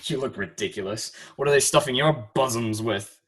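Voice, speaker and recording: A man's voice: mid-range voice, normal speed, a bit bright, husky. A man playing a cocky, flirtatious, mocking Shakespearean actor in an audio play, with theatrical, pompous delivery. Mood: mocking banter. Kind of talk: amateur audio play acting.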